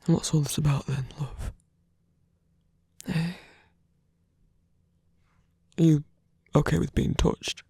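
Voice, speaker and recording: A man playing a gentle, caring lover in an ASMR roleplay, speaking softly, breathily and hesitantly. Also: a little high, little pitch movement, takes it slowly, long pauses, no room sound, clean studio sound, heavily acted. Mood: gentle concern. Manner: soft, hesitant, breathy delivery. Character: gentle, caring male lover soothing a partner.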